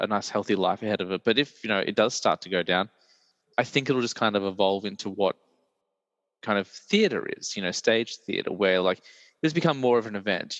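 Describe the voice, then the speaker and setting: A man, hosting a casual film chat in a deep, croaky voice while he recovers from illness, rambling with many fillers. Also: fairly bright tone, dry recording, big-room sound, dull audio. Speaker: podcast host.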